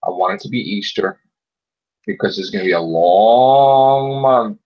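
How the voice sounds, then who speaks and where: A man's voice: on the low side, unhurried. A man co-hosting a podcast, chatting casually and pushing his opinions hard, often repeating a point to stress it. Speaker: podcast co-host. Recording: muffled lo-fi audio.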